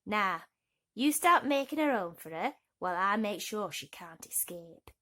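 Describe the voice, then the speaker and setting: A woman's voice: medium pitch, animated, a little slow, sharp tone. A woman voicing a bossy, snappish old mother toad in a bedtime storybook. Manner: snappish, commanding.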